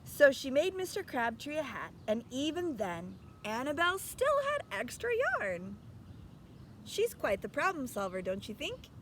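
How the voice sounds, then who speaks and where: Slow, high-pitched, animated woman reading a storybook. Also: slight background noise. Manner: expressive, instructive. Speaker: teacher reading aloud. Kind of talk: storybook read-aloud with questions to young listeners.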